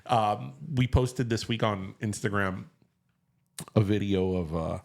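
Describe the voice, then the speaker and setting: A man's voice: lowish voice, takes it slowly, gravelly. A man with a Miami Cuban-American accent chatting casually as a co-host, teasing and dropping in Spanish words. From Miami, USA. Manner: animated teasing banter, sprinkles in Spanish words. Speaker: podcast co-host. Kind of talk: casual chat.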